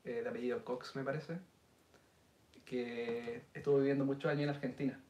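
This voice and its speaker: A man in his thirties with a Chilean accent, telling stories in a relaxed podcast chat with Chilean slang. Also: medium pitch, a little fast. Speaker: podcast host. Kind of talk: casual chat. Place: indoor studio set with city backdrop. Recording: roomy sound, mic a bit distant.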